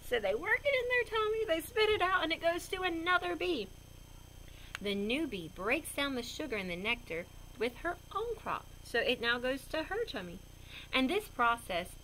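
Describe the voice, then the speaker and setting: A woman reading a children's picture book aloud in a goofy, expressive way with chatty asides. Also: a little high, a little hiss or hum, poor audio. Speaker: storybook reader.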